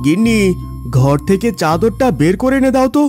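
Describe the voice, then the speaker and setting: A man voicing a brisk, loud village farmer husband in a cartoon story. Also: high-pitched, big pitch swings. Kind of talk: Bengali cartoon moral-story voice acting. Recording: music behind the voice.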